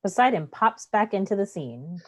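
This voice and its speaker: A woman's voice: mid-range voice, sing-song, normal speed. A woman reading stage directions aloud as the narrator of a play, in scripted narration.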